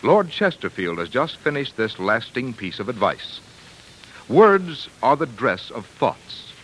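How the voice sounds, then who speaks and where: A man working as a 1940s radio announcer, reading scripted advertising narration in a polished, measured way. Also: mid-range voice, sing-song, a bit bright, poor audio.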